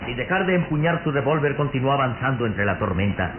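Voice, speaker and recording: A man's voice: medium pitch, expressive. Theatrical male narrator on tinny radio. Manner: theatrical, suspenseful, deliberate phrasing. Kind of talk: dramatic scripted radio drama narration. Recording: noisy, tinny old radio drama recording.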